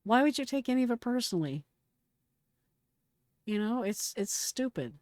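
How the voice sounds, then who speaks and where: A woman's voice: on the high side, sing-song, moderate pace, a little mellow, no echo. A middle-aged American woman giving casual, blunt and exclamatory reaction commentary in slangy speech. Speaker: retired emergency dispatcher reacting to a call recording.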